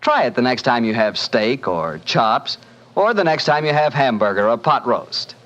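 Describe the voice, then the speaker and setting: Lively American radio announcer, a man. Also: mid-range voice, normal speed, highs cut off. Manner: folksy, enthusiastic salesmanship. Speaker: radio announcer and sponsor pitchman. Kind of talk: 1940s radio announcing and ad pitch.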